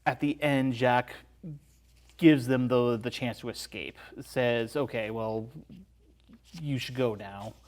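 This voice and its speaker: A man's voice: mid-range voice, steady tone, takes it slowly. A man talking as a podcast guest, analysing films in an explanatory, conversational way.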